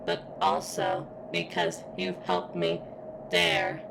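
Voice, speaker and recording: Woman acting as female robot, heavy vocal fry, slow halting pained speech, devoted and earnest, ASMR roleplay. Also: very deep voice, very animated, background noise, heavily acted. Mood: tender, earnest. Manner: halting, deliberate delivery as if in constant pain.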